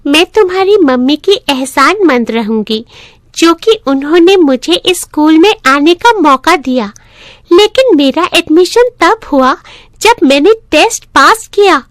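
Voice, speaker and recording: A woman's voice: sits high, expressive, measured pace, dry recording, studio quality, pitched above the performer's own voice. A woman voicing a poor, hardworking orphan schoolgirl in a story, polite, earnest and firm.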